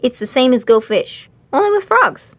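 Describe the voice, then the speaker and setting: A woman's voice: high voice, very animated, moderate pace, voice pushed up, heavily acted. A woman voicing a confident, playful young girl in a children's storybook. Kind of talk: children's storybook character dialogue.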